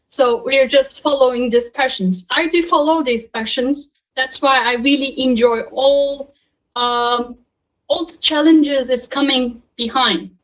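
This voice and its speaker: A woman's voice: high-pitched, steady tone, measured pace, low-quality recording. A woman in her thirties from Turkey, speaking on a panel over a video call and telling her career story earnestly, with many fillers and restarts. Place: home or office in Istanbul.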